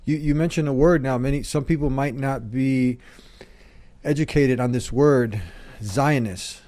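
A man's voice: medium pitch, unhurried, warm tone. Interviewer man asking questions. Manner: questions with restarts.

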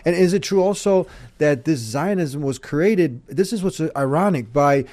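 A man's voice: a little high, expressive, moderate pace, darkish tone. A man interviewing guests, putting questions with some restarts.

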